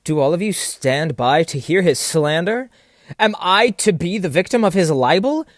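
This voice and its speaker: A man's voice: high-pitched, lively intonation, normal speed, no room sound, clean studio sound. A man playing a proud, volatile sea captain in an audio drama, indignant and theatrical in formal period diction. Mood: indignant, defiant. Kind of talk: stage-play acting in an audio drama.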